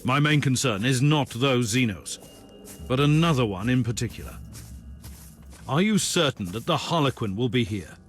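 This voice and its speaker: A man playing a stern, guarded psyker Inquisitor in a video game, speaking formally and gravely in terse phrases. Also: medium pitch, animated, moderate pace, lightly acted. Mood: businesslike. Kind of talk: dramatic video game dialogue. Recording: music behind the voice.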